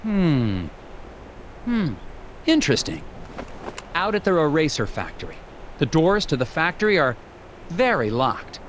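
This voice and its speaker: A man playing an earnest, awkward young FBI agent in an animated game, polite and hesitant with a deadpan streak. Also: fairly high pitch, unhurried, pauses often, a little hiss or hum. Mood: musing, deadpan. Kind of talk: animated adventure game dialogue and dictated notes.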